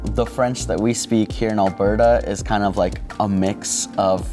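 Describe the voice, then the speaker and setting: Low-voiced young man, measured. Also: steady tone, mellow, faint music behind. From Colombia. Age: young adult. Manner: measured, with "um" pauses. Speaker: education abroad student. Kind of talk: casual chat.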